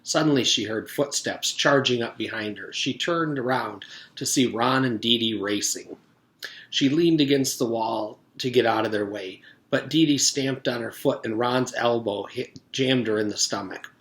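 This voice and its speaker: A man reading a children's book aloud as a teacher would for pupils, with expressive storytelling. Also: medium pitch, moderate pace. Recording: echoey, reverberant room.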